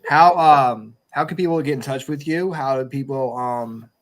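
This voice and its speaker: A man's voice: medium pitch, moderate pace. A man hosting a podcast in casual chat, joking and rambling as he goes. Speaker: podcast host. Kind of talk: casual chat, closing a show.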